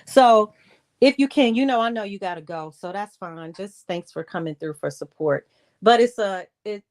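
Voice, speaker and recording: A woman telling a personal story in a casual livestream style, re-enacting conversations and repeating phrases for emphasis in a halting way. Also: lowish voice. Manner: animated re-enacting of conversations with quoted dialogue.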